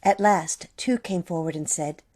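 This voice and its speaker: A woman narrating a scripture passage in a church drama, reading steadily and clearly. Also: on the low side, a little slow, a little mellow.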